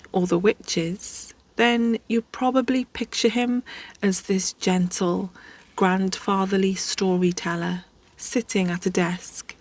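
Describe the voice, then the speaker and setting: A woman hosting, telling a life story in a casual, chatty way with conversational asides. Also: mid-range voice. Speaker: host.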